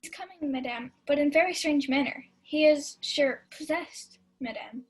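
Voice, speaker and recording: A woman's voice: high voice, moderate pace, natural voice. A woman playing a mischievous maidservant reporting to her lady in a Shakespeare radio play. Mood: feigned concern. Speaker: student actor.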